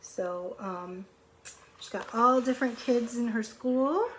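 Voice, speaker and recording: Slow-paced woman reading to young children. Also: fairly high pitch, a little hiss or hum, rough recording. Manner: expressive, questioning, conversational with children. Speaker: preschool or elementary teacher reading aloud. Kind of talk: storybook read-aloud with asides to young children.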